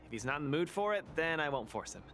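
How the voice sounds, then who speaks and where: A man's voice: a little high, big pitch swings, fast, a bit bright, soft background music. An actor voicing a cheerful, boastful young male underworld fighter in video game dialogue who laughs things off.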